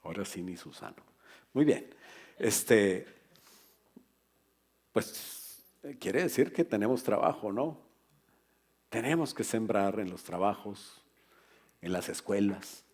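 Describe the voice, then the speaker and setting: A middle-aged man, a pastor, preaching a lively sermon to his congregation in a church, with humor and asides to the listeners. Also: on the low side, takes it slowly, long pauses.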